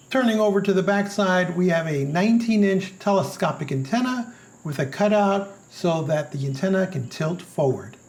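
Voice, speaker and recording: A man's voice: sits high, darkish tone. A man reading a scripted product review as its narrator.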